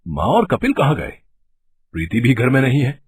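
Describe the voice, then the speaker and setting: A man's voice: medium pitch, huge pitch swings, normal speed, darkish tone, breathy edge, heavily acted. A man playing an earnest, concerned adult son in acted Hindi family story dialogue.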